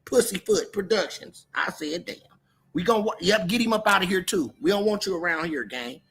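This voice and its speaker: A man's voice: high-pitched, a little fast, fairly bright tone, breathy edge. An American man from California hosting a casual livestream, talking in a slangy, repetitive, confrontational way as he moderates the chat. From Oakland, California, US.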